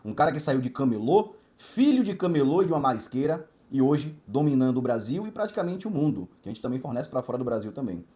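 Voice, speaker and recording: A man's voice: mid-range voice, big pitch swings, fast, hardly any pauses, mellow. A man, a watch distributor telling his life story in an emphatic, motivational way, repeating words in lists and adding frequent tag questions. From Salvador, Bahia, Brazil.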